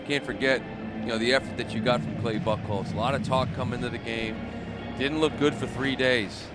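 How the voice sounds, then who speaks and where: A man's voice: mid-range voice, steady tone, fairly quick, over loud music. A man giving conversational color commentary and analysis during a live baseball radio broadcast. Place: outdoor ballpark broadcast booth. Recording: loud stadium noise behind the voice.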